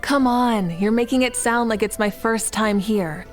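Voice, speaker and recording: A woman playing a calm, assured, reassuring leader in a video game. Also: fairly high pitch, measured pace, lightly acted. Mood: easygoing. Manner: reassuring, composed. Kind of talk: scripted video game character acting. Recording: music playing behind.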